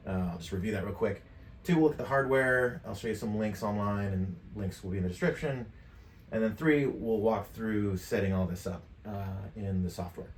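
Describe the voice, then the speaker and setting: A man's voice: fairly low pitch, normal speed, a little hiss or hum. A middle-aged American man giving a casual tutorial demonstration. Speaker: tutorial presenter. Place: furnished game room.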